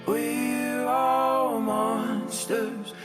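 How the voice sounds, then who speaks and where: A woman's voice: on the high side, level tone, drawn-out words. A woman singing a pop song. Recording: echoey studio reverb under loud music.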